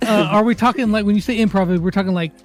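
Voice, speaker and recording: A man's voice: sits high, fast talker. A man hosting a casual interview, asking questions in an informal, joking way. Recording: music behind the voice. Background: music.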